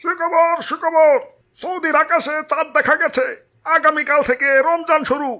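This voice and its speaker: Very high-pitched excited cartoon newsreader played by a man. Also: moderate pace. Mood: excited announcing. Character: excited television newsreader announcing good tidings. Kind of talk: comic news announcement.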